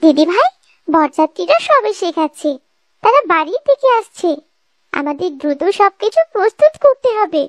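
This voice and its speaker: A woman's voice: extremely high pitch, big pitch swings, normal speed, dry recording. A woman voicing a dutiful, earnest young woman of the household in a cartoon family drama. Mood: eager, caring. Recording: loud music behind the voice. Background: music.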